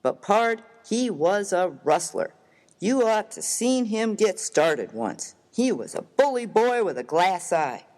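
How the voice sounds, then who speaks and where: Man playing a rough, animated Western miner, echoing hall. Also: high voice, slow, full character voice. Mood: mournful, admiring. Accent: American. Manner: folksy, slangy, emphatic, expressive. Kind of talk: dramatized reading of a humorous story. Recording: reverberant hall-like room.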